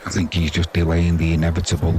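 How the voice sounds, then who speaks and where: A man's voice: sits low, completely flat delivery, fast. A man giving casual live cricket commentary in a wry, teasing, chatty manner. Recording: crowd noise behind the voice.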